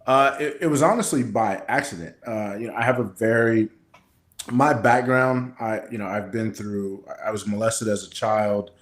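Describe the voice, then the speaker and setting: A man's voice: lowish voice, fairly even intonation, moderate pace. An American man, a coach being interviewed, explaining himself conversationally and in a straightforward way. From Kansas City, Missouri, United States. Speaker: guest, personal development coach. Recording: roomy, echoing sound.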